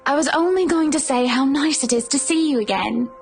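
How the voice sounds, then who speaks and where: A woman's voice: high voice, fairly quick. An actress playing a poised, wry young married woman in a visual novel, politely spoken but ready to swear. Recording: music playing underneath. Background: game music.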